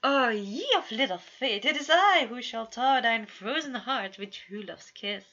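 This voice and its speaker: A woman's voice: on the high side, lively intonation, unhurried, pushed and bright, voice pushed up, exaggerated voice. A woman voicing a theatrical young prince in a story, playful, boastful and grandiose. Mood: mock-heroic. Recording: dull lo-fi home recording.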